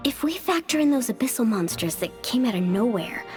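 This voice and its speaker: A woman's voice: on the high side, sing-song, brisk, darkish tone. An actress voicing a blunt, casual young woman. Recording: game scene with faint music.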